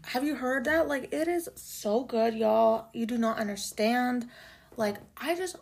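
Raspy young woman chatting on a podcast. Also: a little slow. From Canada. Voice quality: low raspy voice. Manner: chatty, swears freely, lots of "like" and "y'all". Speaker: podcast host. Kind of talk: casual solo podcast chat. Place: home room in Toronto.

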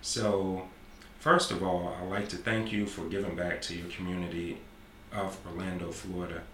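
A forties man with a very low but bright, forceful voice hosts a podcast in a chatty, affirming way. His voice rings in a roomy space with faint background noise. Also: little pitch movement, moderate pace. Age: 40s. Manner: repetitive, affirming, talkative. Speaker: podcast host. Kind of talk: casual interview.

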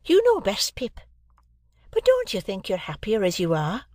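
A gentle, quiet young woman from a village, put on by a male reader. She speaks softly and with a sorrowful mildness, her voice raised well above the reader's own, in a dry and close storybook recording. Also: sits high, wildly swooping pitch, normal speed, no echo, pitched above the performer's own voice. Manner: soft, mild and sorrowful.

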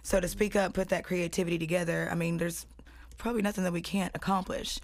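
A woman's voice: on the low side, fairly even intonation, fast, dry recording. An American woman in casual podcast conversation, speaking slangily and with self-assurance as a guest. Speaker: guest artist.

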